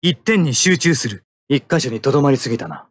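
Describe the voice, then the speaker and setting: A man's voice: medium pitch, sing-song, brisk, breathy edge. An actor playing a stoic young male mecha pilot in an anime combat game, speaking in terse, tactical military phrases. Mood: cool, composed.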